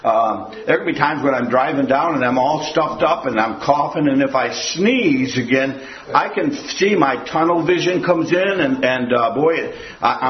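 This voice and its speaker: An older man gives a rambling, folksy sermon, circling back on his points and telling homely jokes. His voice rings through a roomy church and comes over a dull, muffled recording. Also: fairly high pitch. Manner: rambling, folksy, repeats "again". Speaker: preacher. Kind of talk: sermon with audience questions.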